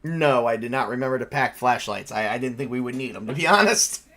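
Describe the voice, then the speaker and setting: A man with an average-pitched voice acts as game master for a tabletop role-playing game. He narrates scenes and talks through rules in a casual, conversational style. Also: animated, fast talker, sharp tone.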